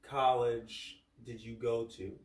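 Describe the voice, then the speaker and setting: A man's voice: medium pitch, flat delivery, slow pace. Livestream co-host: American man, mid-range voice, casual question reader, roomy sound with faint noise. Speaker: co-host reading viewer questions. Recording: echoey room, distant mic, faint noise.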